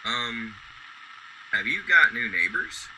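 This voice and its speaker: A man playing a gentle, playful working man given to musing, in a radio drama. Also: medium pitch, sing-song, slow pace, slight background noise, full character voice, low-quality recording. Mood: curious, musing.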